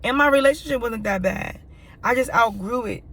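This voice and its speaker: Hoarse, raspy woman vlogging candidly. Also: a little high, moderate pace, a bit bright. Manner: emphatic, repetitive, swears freely. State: hoarse, dry throat from yelling. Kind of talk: candid personal monologue.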